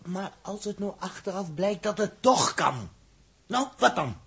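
Husky, excitable hot-headed astronaut. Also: male voice, sits high, measured pace, full character voice. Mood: defiant, scornful. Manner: excitable, emphatic, repeating words. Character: hot-headed, blunt male astronaut, impulsive and sarcastic. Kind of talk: 1960s radio drama acting.

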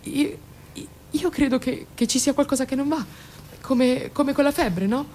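A woman's voice: sits high, a little slow, darkish tone, slight background noise. A woman playing an anxious, doting mother in denial in an Italian radio drama, pleading and coaxing with stammered repetitions. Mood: coaxing, flustered.

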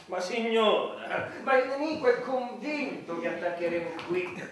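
An actor playing a keen, sycophantic military adviser in satirical radio theatre, emphatic and eager. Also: male voice, high-pitched, lively intonation, unhurried. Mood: eager, explaining. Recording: very echoey, distant microphone.

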